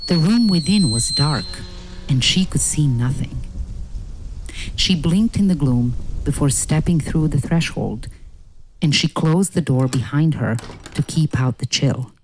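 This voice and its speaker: A woman narrating a scripted fairy tale in a measured, deliberate way. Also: sits low, animated, a little hiss or hum, poor audio.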